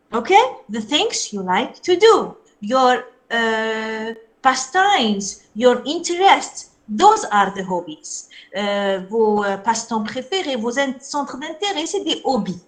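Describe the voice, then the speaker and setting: Female English teacher, Algerian French-influenced accent, lively and higher pitch, patient repeated examples. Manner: explains slowly, repeats example phrases, asks students if they follow. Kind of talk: online class lecture.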